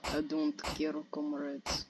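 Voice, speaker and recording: A young teen boy with a high, childlike voice, casually commenting on a strategy game in a cheeky, offhand way. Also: completely flat delivery, slow pace, heavy background noise. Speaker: gaming channel host.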